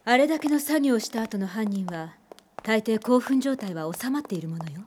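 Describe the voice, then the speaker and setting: An actress playing a composed, analytical senior detective in a radio drama, speaking deliberately and with authority. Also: female voice, a little high, lively intonation, a little mellow, a little hiss or hum, close to an everyday voice.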